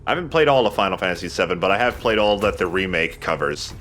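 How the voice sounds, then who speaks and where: A man's voice: low-pitched, expressive, fast talker, fairly bright tone, a little hiss or hum. A man hosting a let's play, joking and swearing in casual banter as he comments on his game. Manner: joking, profane.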